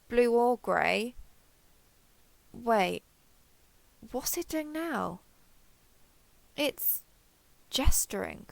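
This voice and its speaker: A woman voicing an excitable, wary alien explorer, thinking aloud in a wry, hesitant internal monologue for an audio roleplay. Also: medium pitch, gravelly, dry recording. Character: excitable, wary female alien explorer with blue-grey skin. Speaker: voice actor.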